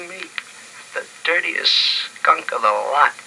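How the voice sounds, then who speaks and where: An actor playing a bitter, self-loathing drunken survivor who slurs and rambles in an old radio drama. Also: male voice, on the high side, expressive, slow pace, gravelly, pushed and bright, exaggerated voice. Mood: bitter, maudlin. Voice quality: slurred, drunken. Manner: rambling, repeating himself. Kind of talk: 1950s radio drama acting. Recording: noisy old radio broadcast recording.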